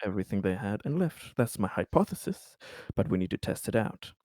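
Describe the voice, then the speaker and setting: Low-voiced man in casual tabletop game talk. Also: very animated, brisk, darkish tone, no echo. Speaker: player in a tabletop roleplaying game.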